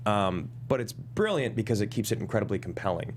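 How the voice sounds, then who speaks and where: A man's voice: fairly low pitch, animated, brisk, slight background noise. A man on a discussion panel, talking casually and effusively as an actor. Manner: effusive, admiring talk.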